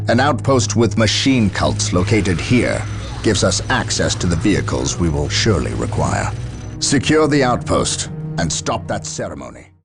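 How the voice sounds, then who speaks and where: A man playing a stern, burdened space marine captain in a video game, speaking gravely and formally. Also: sits low. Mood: commanding. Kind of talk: dramatic video game acting.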